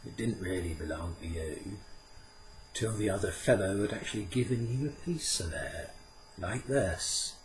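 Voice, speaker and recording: A man voicing a sly, ancient male sprite who talks in a measured way and sometimes chants in a slow sing-song. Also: deep voice, animated, slight background noise, low-quality recording. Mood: knowing.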